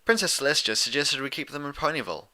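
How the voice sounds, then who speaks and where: A bookish young mare, played by a man, with a raised, bright voice. The unicorn sounds fussy and a little awkward, hesitating as she explains in this cartoon storybook reading. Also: fairly high pitch, fast, pitched above the performer's own voice. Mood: dry, exasperated. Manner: hesitant, filler-laden explaining.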